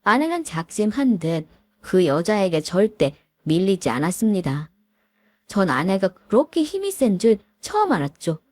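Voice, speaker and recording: A woman voicing a middle-aged husband who calmly retells how his wife fought an old classmate, in polite storybook narration. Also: mid-range voice, dry recording. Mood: recounting. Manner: measured, recounting in polite speech.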